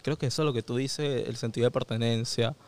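Venezuelan accent, young adult man, smooth resonant tone, mid-pitched, reflective podcast guest, casual chat. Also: steady tone, fast talker, a little mellow. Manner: reflective, thinks aloud with fillers and restarts. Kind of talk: casual conversation.

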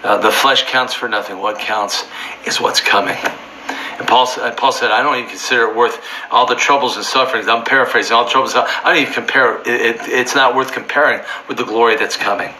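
A slightly hoarse man teaching from the Bible informally, fervent and building to near-shouting emphasis. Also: mid-range voice, fairly even intonation, brisk, slight background noise, poor audio.

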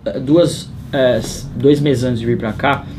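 A man's voice: mid-range voice, steady tone, measured pace, background noise. A Brazilian man with a Brazilian Portuguese accent, co-hosting a casual chat with teasing banter.

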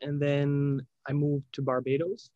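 A young man with a fairly high-pitched voice talks through a dry, dull, lo-fi recording. He is a startup founder being interviewed, reflective and unhurried. He often restarts his sentences as he thinks. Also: monotone, dry recording. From Spain. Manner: hesitant, restarts phrases, reflective. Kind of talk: casual interview talk. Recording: dull lo-fi sound.

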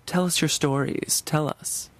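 A man's voice: fairly high pitch, steady tone, measured pace, a bit bright, no echo. A man hosting a podcast in a conversational presenting style. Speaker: podcast host.

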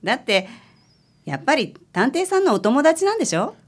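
A woman's voice: sits high, very animated, fairly quick. A woman playing a polite, worried client of a detective in a radio drama. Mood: relieved, puzzled.

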